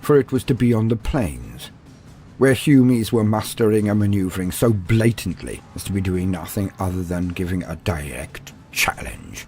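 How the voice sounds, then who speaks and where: A man's voice: sits low, lively intonation, moderate pace, a little mellow. A man giving a scripted, dramatic narration of fantasy war lore. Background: music.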